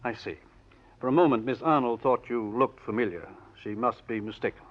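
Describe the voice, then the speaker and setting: Polite male defense counsel, played by a man. Measured, probing, vintage radio acting, dull old-broadcast sound. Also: medium pitch, close to an everyday voice. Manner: measured, courteous questioning. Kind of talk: 1940s radio courtroom drama acting.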